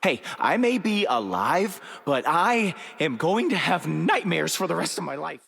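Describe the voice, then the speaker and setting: An actor voicing a rattled, wisecracking space adventurer in a video game, casual and exasperated. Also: male voice, on the high side, big pitch swings, unhurried, creaky, fairly bright tone, slightly rough, heavily acted. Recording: long echoey hall reverb.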